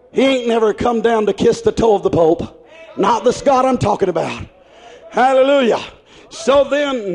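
A man preaching a fiery sermon, stretching out his words, calling hallelujah and swinging from shouts to low murmurs. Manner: dramatic, drawn-out exclamations, calls of hallelujah. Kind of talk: Pentecostal-style sermon.

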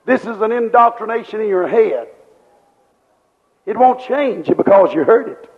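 A man's voice: sits high, expressive, normal speed. A man preaching a sermon with fervent rhetorical questions and repeated refrains. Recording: dull lo-fi recording with long hall reverb.

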